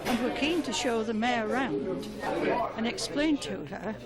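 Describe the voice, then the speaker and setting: A woman's voice: fairly high pitch, lively intonation, a little slow, a loud crowd. A woman reading scripted narration as the narrator of a local newsreel.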